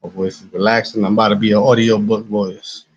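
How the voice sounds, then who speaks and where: A man with a raspy smoker's voice, rambling casually and profanely to his live-stream chat while high. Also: little pitch movement, brisk, no echo. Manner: laid-back, rambling, profane. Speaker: livestreamer. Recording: dull lo-fi stream audio.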